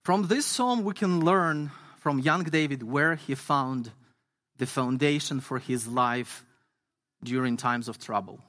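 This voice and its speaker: A man from Ukraine, an English speaker, preaching a sermon earnestly and reading scripture slowly with emphasis. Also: medium pitch, a bit bright. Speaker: guest pastor.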